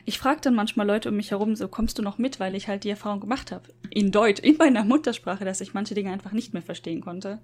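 Woman, standard accent, relaxed chatty podcast guest, laughs easily. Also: mid-range voice, quick pace, few pauses. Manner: chatty, laughs often, self-deprecating. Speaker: university lecturer in Japan. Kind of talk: casual podcast chat.

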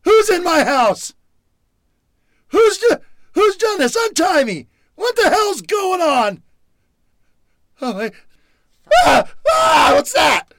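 A woman's voice: very high voice, sing-song, slow pace, creaky, pauses often, shouting, heavily acted. An actress playing a frightened, groggy woman trapped at home, in old-time radio horror style, stammering and dramatic. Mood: terrified, angry. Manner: stammering, breathless exclamations, dramatic.

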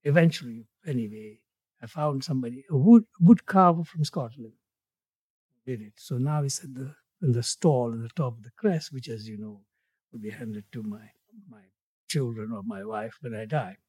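A man in his eighties from Tanzania, a guest in an interview, speaking in a measured way with his voice falling away at the ends of phrases. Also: mid-range voice, darkish tone, breathy edge, no room sound. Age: 80s. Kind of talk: reflective interview conversation.

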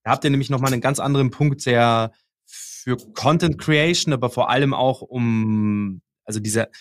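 A man's voice: medium pitch, moderate pace, dry recording. A male podcast host chats casually, recorded dry with no room sound. He rambles and repeats words while he searches for his point.

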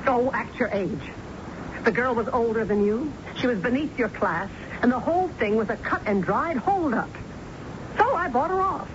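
A woman's voice: a little high, expressive, close to an everyday voice. Actress as commanding middle-aged American mother, muffled radio drama. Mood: forceful. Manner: brisk, commanding, emotional. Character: hard-driving businesswoman and controlling, wounded mother. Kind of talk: 1970s radio drama acting. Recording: muffled, noisy old radio broadcast recording.

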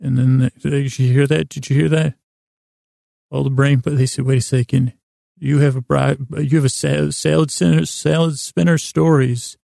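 A man hosting a bedtime podcast in a creaky, gravelly voice, giving a meandering, halting monologue full of false starts and tangents. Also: mid-range voice, fairly even intonation, warm tone, no room sound, clean studio sound. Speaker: host of a bedtime sleep podcast. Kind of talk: rambling, unhurried bedtime monologue.

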